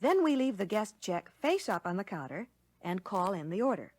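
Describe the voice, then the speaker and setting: A woman playing a courteous American lunch counter waitress, explaining service clearly in a scripted 1960 training film. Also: mid-range voice, big pitch swings, normal speed, darkish tone, dry recording. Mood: polite, instructive. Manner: courteous, instructive, clearly worded.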